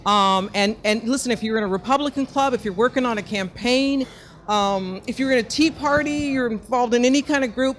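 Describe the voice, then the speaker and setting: Joking woman with a fairly high voice. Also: brisk, slight background noise. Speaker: guest entertainer. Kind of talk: interview answers and promotion.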